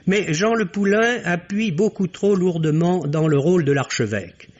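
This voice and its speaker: A man's voice: high-pitched, moderate pace, a little mellow. A man reading a scripted film review in the formal, wry manner of 1950s French radio. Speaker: film critic. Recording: dull vintage radio recording.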